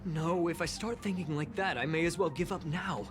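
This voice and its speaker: An actor playing an excitable young space station investigator who puzzles aloud in scripted game dialogue. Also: medium pitch, moderate pace, natural voice. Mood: confused. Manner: animated, pondering aloud. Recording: background music under dialogue.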